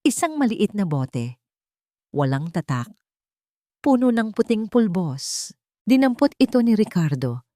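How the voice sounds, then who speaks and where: A woman's voice: medium pitch, sing-song, unhurried, long pauses, warm tone, no echo. A woman narrating a scripted audio drama as a storyteller, in a dramatic style.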